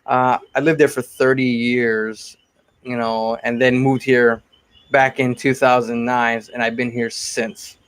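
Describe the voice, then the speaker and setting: A man's voice: mid-range voice, level tone, unhurried, fairly bright tone, smooth. A man in his forties from Connecticut, chatting casually on a live stream as he answers viewer questions about his cosplay builds. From Connecticut, USA. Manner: chatty and rambling, often repeats himself.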